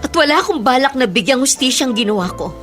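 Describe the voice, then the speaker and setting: A woman's voice: high voice, lively intonation, fast talker, music in the background. A woman playing a guilt-ridden, self-sacrificing mother in a Tagalog radio drama, pleading and earnest in a melodramatic way. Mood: hurt, pleading.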